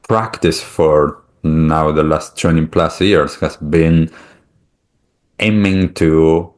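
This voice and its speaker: Man, low voice, Argentinian accent, native Spanish speaker, middle-aged, discursive, conversational podcast host. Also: fairly even intonation, slow pace. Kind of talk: reflective conversational interview talk.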